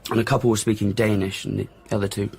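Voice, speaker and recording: Cynical blunt reporter guy, husky and creaky, lowish, radio play. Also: flat delivery, quick pace, darkish tone. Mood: cynical, offhand. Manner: grudging, vague, blunt. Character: cynical, blunt male journalist witness, offhand. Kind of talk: naturalistic radio drama acting.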